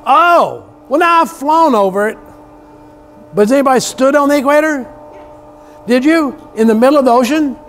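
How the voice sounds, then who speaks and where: A man's voice: extremely high pitch, expressive, normal speed, a bit bright, a little hiss or hum. A man from South Louisiana with a Southern US accent, bantering informally with a class as a lecturer, folksy, joking and prone to exclaim. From South Louisiana, USA. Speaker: university lecturer. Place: university classroom.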